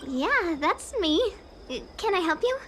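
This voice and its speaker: A woman's voice: very high voice, very animated, a little slow, heavy background noise, exaggerated voice. A woman voicing a timid, bookish young female shop clerk in a video game, hesitant and apologetic. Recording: music under the voice.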